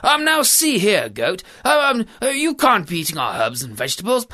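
A woman's voice: mid-range voice, huge pitch swings, normal speed, pushed and bright, no room sound, clean studio sound, pitched above the performer's own voice, heavily acted. A woman voicing a timid, bumbling husband in a storybook tale, stammering and prim in his politeness. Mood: dithering. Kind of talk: storybook character voice.

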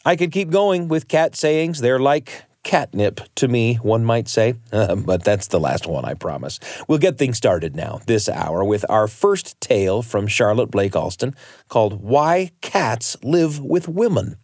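A man's voice: medium pitch, animated, a little slow, a little mellow, no echo. A man hosting a radio show with scripted, playful, folksy narration addressed straight to listeners. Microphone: close studio microphone. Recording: dry studio with music underneath.

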